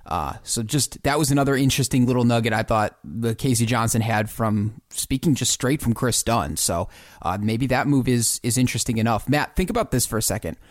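A man's voice: medium pitch, a little fast, no echo, studio quality. A man co-hosting a sports podcast, thinking through basketball scenarios and posing questions in a conversational way.

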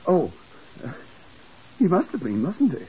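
Flustered, swooping English hotel keeper. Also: male voice, on the high side, wildly swooping pitch, a little slow, mellow, breathy edge, full character voice. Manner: stammering, fussy, hesitant. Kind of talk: 1950s radio drama acting. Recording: muffled, hissy 1950s radio recording.

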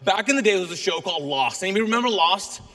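A middle-aged man preaching to a congregation, his first language Urdu. His voice sits high and bright. It rings with a long reverb from a large church auditorium. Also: rapid-fire. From Kuwait. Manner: lively, joking with the congregation. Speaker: guest pastor. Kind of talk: sermon.

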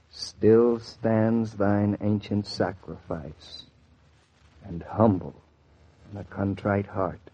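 An actor playing a gentle, reticent, solemn young husband in a 1940s radio drama. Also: male voice, lowish voice, vocal fry, breathy edge, exaggerated voice. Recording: dull vintage 1940s radio recording.

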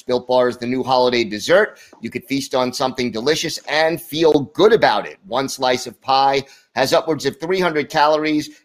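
A man hosting a sports podcast, asking questions in a brisk, friendly way and reading sponsor copy smoothly. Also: mid-range voice. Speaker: podcast host. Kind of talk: podcast interview and ad read.

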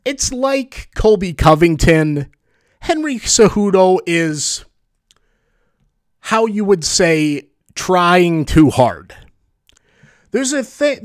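A man hosting a solo podcast, previewing fights in a chatty, rambling way with a booming voice, often correcting himself. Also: big pitch swings, slow pace, no echo, studio quality. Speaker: podcast host. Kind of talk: solo sports preview commentary.

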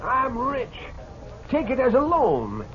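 An actor playing a feisty, generous old gold prospector, folksy and excitable, in a 1950s western radio drama. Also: male voice, extremely high pitch, slow, exaggerated voice. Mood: firm, indignant. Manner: folksy, plain-spoken, excitable. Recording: dull, noisy old radio recording.

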